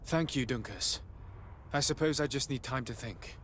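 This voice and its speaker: An actor playing an earnest, dutiful young noble envoy in a game, speaking sincerely with formal restraint. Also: male voice, medium pitch, moderate pace, a bit bright, slight background noise, close to an everyday voice. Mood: pensive. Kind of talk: game cutscene acting.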